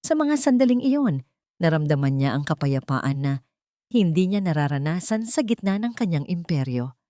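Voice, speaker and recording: A woman's voice: fairly low pitch, sing-song, normal speed, warm tone, dry recording, studio quality. A woman narrating a scripted romantic drama story in a storytelling style.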